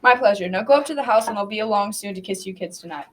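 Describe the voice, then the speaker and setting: A young student plays a kindly grandpa in a school radio play, spinning a folktale for the grandchildren. The voice is youthful and medium in pitch, with a gentle storytelling manner. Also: very fast, heavy background noise, natural voice. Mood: gentle, affectionate. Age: about 12 to 14. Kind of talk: amateur radio drama storytelling.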